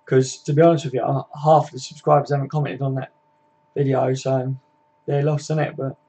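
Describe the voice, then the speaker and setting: A man's voice: mid-range voice, a little mellow, husky, muffled recording. A man, hosting a casual live stream, chatting matily with viewers and laughing easily. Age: 20s.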